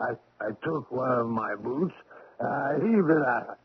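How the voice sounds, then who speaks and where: A man acting as a boastful old-radio drunkard, grumbling and blustering his way along with a hot temper. His middling-pitched voice rambles through a thin, telephone-like radio recording. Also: animated, moderate pace, close to an everyday voice. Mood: rambling, aggrieved. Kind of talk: 1970s radio drama acting. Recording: noisy phone-like 1970s radio recording.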